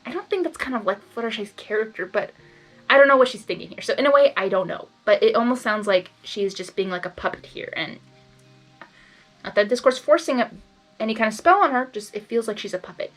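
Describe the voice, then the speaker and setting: Higher-voiced woman reading aloud over music. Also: animated, brisk, fairly bright tone, low-quality recording. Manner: stumbles over words, self-interrupting, reactive. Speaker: host reading fan fiction aloud and reacting. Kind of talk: blind reading with casual commentary. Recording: background music under the voice.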